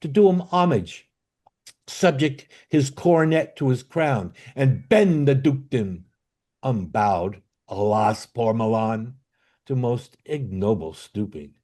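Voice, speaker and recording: A man playing a bitter, commanding exiled duke and father in an amateur Shakespeare reading, with a declamatory, halting delivery. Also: medium pitch, animated, dark tone. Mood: bitter, aggrieved. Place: online video call.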